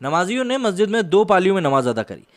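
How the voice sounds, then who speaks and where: A man's voice: high voice, big pitch swings, fast talker. A man delivering news analysis commentary, partly scripted, in an emphatic, rhetorical manner. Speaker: commentator presenting a video.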